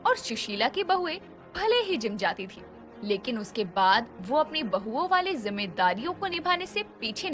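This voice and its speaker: A female narrator tells a scripted moral story. Her voice is high and moves expressively up and down. Background music is clearly audible throughout.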